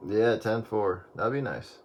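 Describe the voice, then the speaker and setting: A man's voice: lowish voice, unhurried. A man making casual chatter as an operator on a two-way radio. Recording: noisy two-way radio with heavy static.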